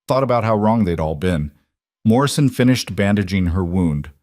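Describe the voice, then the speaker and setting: Low-voiced man narrating a military drama. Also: expressive, normal speed, darkish tone, no echo, studio quality. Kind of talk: scripted story narration.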